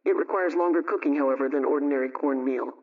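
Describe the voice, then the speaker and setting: A man reading scripted household advice and recipes in a measured, instructional way, like a 1920s radio programme host. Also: a little high. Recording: dull, distant vintage radio sound.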